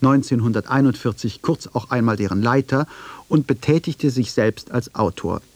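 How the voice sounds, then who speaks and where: A man's voice: medium pitch, normal speed, no room sound. A man reading scripted documentary narration as the narrator of a radio feature.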